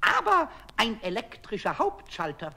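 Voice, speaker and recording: A very high, swooping, raspy voice for a little kobold. He is touchy, childlike and self-important, and he repeats his words excitedly, as in a children's radio play. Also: unhurried, exaggerated voice. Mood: bossy, excited.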